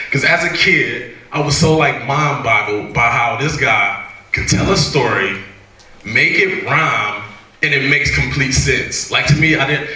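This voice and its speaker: A man from Cleveland, Ohio, telling his story in a casual, fast and laughing way as a guest speaker to students. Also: mid-range voice, sharp tone, a little hiss or hum. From Cleveland, Ohio, USA. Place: university event hall. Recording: echoey hall, mic from a distance.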